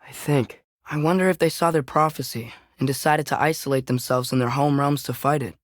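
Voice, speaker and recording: A man's voice: medium pitch, brisk, no echo, clean studio sound, close to an everyday voice. A young man playing an earnest, headstrong teenage boy adventurer in a video game, with a light youthful voice and a casual, hesitant way of talking. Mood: tentative, thoughtful. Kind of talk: video game character acting.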